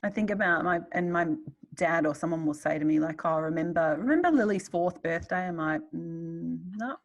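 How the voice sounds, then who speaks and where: A middle-aged Australian woman with a slightly low voice. She talks candidly and casually, with a wry, self-deprecating streak, as one writer chatting to another. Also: normal speed. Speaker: writer in conversation.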